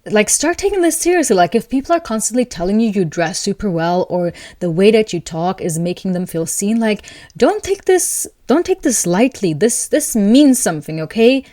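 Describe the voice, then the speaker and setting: A woman hosting an astrology video, talking calmly and thoughtfully to camera, with soft laughs between thoughts. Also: mid-range voice, moderate pace, dry recording, studio quality. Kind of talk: casual spoken reflection to camera.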